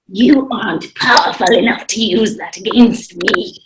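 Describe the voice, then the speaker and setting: A menacing sea-witch queen, acted by a woman at a table read. She takes her time, scornful and regal, in a medium-pitched voice. The recording is plainly noisy. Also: close to an everyday voice. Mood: scornful threat. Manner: haughty, scornful, drawn-out delivery. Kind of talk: table read of a fantasy screenplay.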